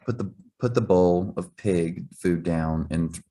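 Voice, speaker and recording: A man's voice: low voice, slow, mellow. A man reading a children's story aloud as scripted narration. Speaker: reader.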